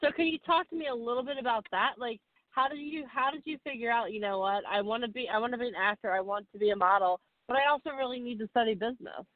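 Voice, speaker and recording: A woman hosting a podcast interview in an excited, gushing manner. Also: a little high, quick pace, few pauses, dry recording. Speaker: podcast host. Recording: muffled phone-line sound.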